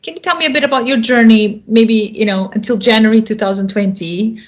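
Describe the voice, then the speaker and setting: A woman hosting a podcast, reading a scripted intro in a measured, earnest way, then asking interview questions. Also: a little high. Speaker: podcast host. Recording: dull lo-fi sound with faint music.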